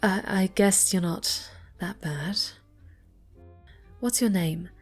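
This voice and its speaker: A woman playing a snobby, pouty young cat girl with a soft side in an ASMR roleplay. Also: medium pitch, slow pace, husky, soft background music. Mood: grudging, snooty. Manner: haughty, pouty, dismissive.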